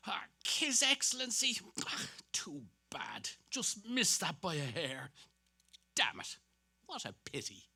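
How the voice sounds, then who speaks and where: A man's voice: on the high side, wildly swooping pitch, takes it slowly, gravelly, sharp tone, breathy edge, full character voice. An actor playing a vain, dapper middle-aged salesman who chatters to himself in a dramatic stage reading. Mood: flustered. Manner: self-satisfied, muttering to himself between hearty greetings.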